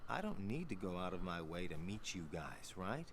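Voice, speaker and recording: A man's voice: low voice, big pitch swings, moderate pace, slight background noise. An actor playing a laid-back, teasing young man who is a loyal older friend, in video game cutscenes. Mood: warm, teasing.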